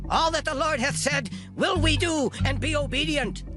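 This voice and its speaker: A man doing a high distant people's chorus. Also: normal speed, music in the background. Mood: vowing. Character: crowd of Israelites answering as one.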